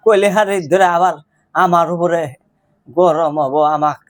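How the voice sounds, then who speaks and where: A man's voice: on the high side, a little slow, lightly acted. A man playing a fretful grandma. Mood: pleading. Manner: pleading, rambling and plaintive. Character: anxious old village grandmother fretting over her grandson. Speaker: prank caller. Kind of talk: prank phone call.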